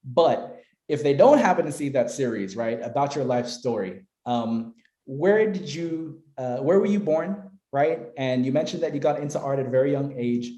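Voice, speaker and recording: A man's voice: mid-range voice, lively intonation, normal speed, warm tone, echoey room. A man hosting an interview podcast, upbeat and affirming toward his guest. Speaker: podcast host.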